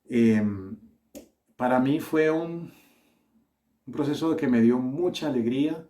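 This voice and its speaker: Salvadoran-accented male preacher, mellow tone, earnest and measured sermon delivery. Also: medium pitch. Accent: Salvadoran with Colombian touches.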